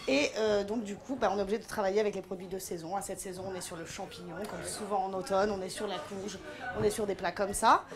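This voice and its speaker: A woman's voice: mid-range voice, fairly even intonation, quick pace. A young woman working in her family's wine bar, explaining the food and wines fluently and with enthusiasm. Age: young adult. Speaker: restaurant staff, owner's daughter. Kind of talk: informative explaining. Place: small wine bar, indoors.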